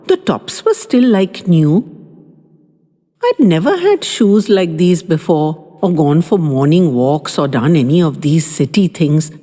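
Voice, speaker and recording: Lively, low-voiced woman telling a story. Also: breathy edge. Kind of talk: scripted first-person story narration. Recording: long reverb with faint background music.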